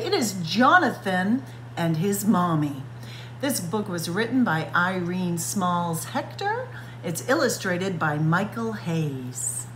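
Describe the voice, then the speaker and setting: A woman reading a picture book aloud for children at story time, expressive and stretching words to act them out. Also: medium pitch, slight background noise. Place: indoors, library room with bookshelves.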